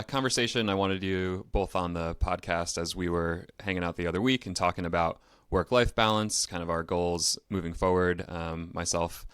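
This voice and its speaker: Male podcast host, very deep voice, smooth tone, dry sound, conversational, casual. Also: a bit bright, dry recording.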